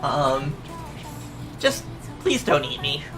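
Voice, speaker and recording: A young adult streamer talks casually to their chat, wandering through answers with constant ums and uhs and the occasional curse. The voice sits at a middling pitch. Music plays clearly underneath. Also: big pitch swings, slow, poor audio. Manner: rambling, hesitant, many fillers, mild swearing. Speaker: VTuber streamer. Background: music playing.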